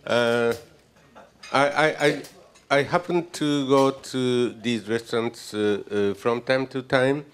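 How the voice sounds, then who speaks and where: An older man giving a halting, joking speech at a gala dinner, with many pauses and fillers. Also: mid-range voice. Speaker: gala speaker, society president. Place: banquet hall.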